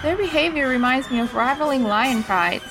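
A poised young woman as an observant animal expert in an audio drama, with a high-pitched voice. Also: animated, normal speed, rough recording.

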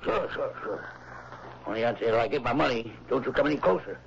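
An actor playing a suspicious, gruff old rancher in a 1960s radio drama, speaking in curt, folksy, ungrammatical bursts. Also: male voice, medium pitch, lively intonation, fast talker, highs cut off. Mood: jittery, wary. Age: older. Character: weather-beaten, husky old rancher, suspicious, gruff and jittery. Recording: noisy, band-limited old radio recording.

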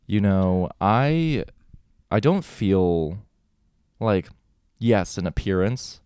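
A man's voice: sits low, expressive, very slow, darkish tone, clear, resonant tone, no echo, studio quality. A young adult man co-hosting a podcast in a joking, wry way, swearing casually. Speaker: podcast co-host. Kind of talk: casual chat.

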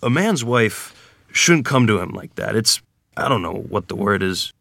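A man acting an earnest, awkward rural Missouri ferryman groom from the 1920s at a screenplay table read, plain-spoken and halting. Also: on the low side, sing-song, vocal fry, fairly bright tone, no room sound, studio quality, exaggerated voice. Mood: uneasy, earnest.

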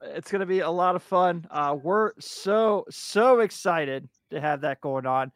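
A man hosting a casual sports podcast, hyped and exclamatory, with a raspy voice today. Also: on the high side, no room sound, studio quality. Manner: hyped, exclamatory, drawn-out hype words. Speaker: podcast host.

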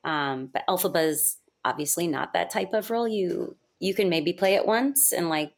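Chatty low-voiced woman, lots of "like". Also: normal speed. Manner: chatty, full of "like" and "you know", laughs often. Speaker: musical theater performer, guest. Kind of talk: casual interview storytelling.

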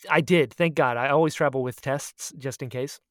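A woman's voice: a bass voice, moderate pace, no echo, studio quality. A woman co-hosting a podcast, telling a story casually and expressively, often acting out her own remarks. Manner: animated, expressive, quotes herself dramatically. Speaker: podcast co-host.

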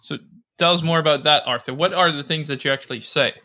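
A man's voice: a little high, quick pace, sharp tone. A man hosting a podcast in casual conversation, enthusiastic and often repeating words as he starts a thought. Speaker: podcast host. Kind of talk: casual chat.

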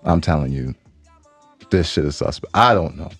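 A man's voice: very deep voice, lively intonation, normal speed, no echo, soft background music. A man co-hosting a podcast with casual, slangy, mock-defensive banter, often repeating himself. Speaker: podcast co-host.

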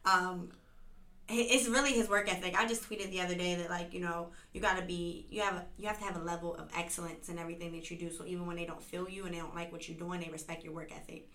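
An American woman with a bright, fairly low voice talks casually and emphatically in slang. She sounds like a podcast guest in an echoey room. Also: fairly even intonation, fast talker. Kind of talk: casual chat. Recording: echoey room with faint noise.

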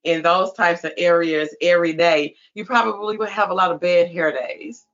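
A woman's voice: lowish voice, steady tone, moderate pace, a bit bright, highs cut off. A woman hosting a casual interview, asking questions in an informal, emphatic way. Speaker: interviewer, host.

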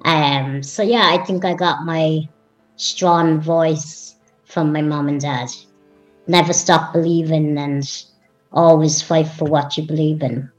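Young woman from Ireland, interview guest, low and slow, ums a lot, faint music behind. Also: fairly even intonation, low-quality recording. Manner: unhurried, warm, with frequent ums. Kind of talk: casual interview conversation.

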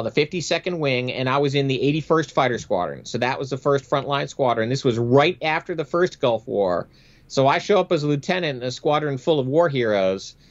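A man's voice: medium pitch, lo-fi sound. A middle-aged American man, a former military aviator, telling his experiences conversationally as an interview guest in an emphatic, matter-of-fact way. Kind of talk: conversational storytelling.

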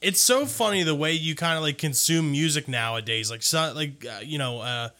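A man's voice: a little high, normal speed, pushed and bright. A man chatting casually about music in loose, informal phrasing full of filler "like".

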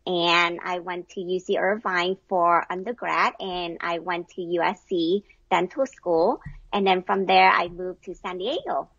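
A woman's voice: lowish voice, steady tone, a little slow, fairly bright tone, slightly rough, dry recording, highs cut off. A middle-aged woman from Vietnam, answering casually as an interview guest. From Vietnam, moved to the US at eleven.

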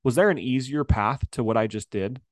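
A man's voice: medium pitch, fairly quick, no echo, clean studio sound. A man speaking as a coach in an interview, emphatic and upbeat in a motivational way. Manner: emphatic, upbeat, acts out quoted voices. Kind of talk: interview guest, motivational talk.

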